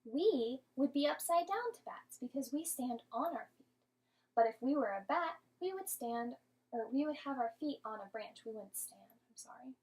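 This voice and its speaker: A woman teacher giving an interactive storybook read-aloud to young children, expressive and patient, often asking and explaining. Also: sits high, normal speed, low-quality recording.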